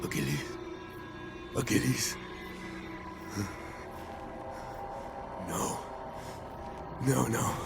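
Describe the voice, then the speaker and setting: An actor playing a proud, anguished, enraged ancient Greek warrior in a video game cutscene, declaiming intensely and often shouting. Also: male voice, mid-range voice, steady tone, slow, vocal fry, loud music behind the voice. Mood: anguished disbelief.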